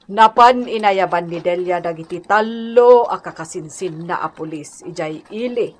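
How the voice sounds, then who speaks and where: A woman's voice: lowish voice, normal speed, lightly acted. An actress playing a stern, authoritative female village captain in a Filipino radio drama. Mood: matter-of-fact. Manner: authoritative, admonishing.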